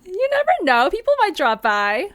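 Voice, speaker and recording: A woman's voice: sits high, huge pitch swings, normal speed, fairly bright tone, dry recording. A woman hosting a podcast in a casual, conversational style. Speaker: podcast host. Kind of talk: casual chat.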